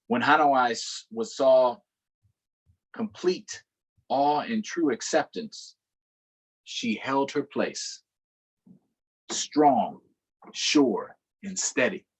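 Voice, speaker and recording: A man reading a picture book aloud to children in an unhurried way, pausing for short explanatory asides. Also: medium pitch, sing-song, gravelly, breathy edge, poor audio. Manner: unhurried, adds explanatory asides to young listeners. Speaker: storytime reader.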